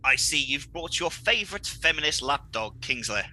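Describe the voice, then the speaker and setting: A melodramatic villain from a comedy radio play, pompous and mocking. His voice is very bright and sharp, swooping widely in pitch, with faint noise behind it. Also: animated, moderate pace. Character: gleeful, scheming melodramatic male supervillain, Mister Munge.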